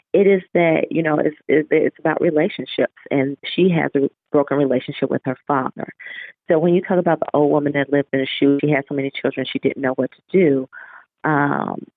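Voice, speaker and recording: A woman's voice comes through a narrow, phone-like connection with plenty of vocal fry. She speaks as an author being interviewed, explaining things in a reflective, conversational way. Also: low-pitched, dry recording. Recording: tinny, narrow phone-like line.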